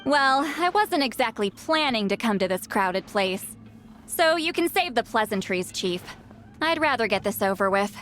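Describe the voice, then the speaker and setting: Casting: proud, grumpy game shaman, high and very animated, haughty and blunt. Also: moderate pace, faint music behind. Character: proud, grumpy, youthful-sounding granny shaman. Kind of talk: video game voice acting.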